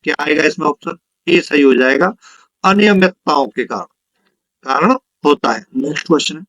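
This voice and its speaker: A man teaches in a high-pitched voice, taking exam questions one at a time. He is instructive and repeats each question and answer for the learners. The recording is close and dry, with very little room sound. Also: lively intonation, normal speed, fairly bright tone, no room sound, poor audio. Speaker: physics teacher for exam preparation.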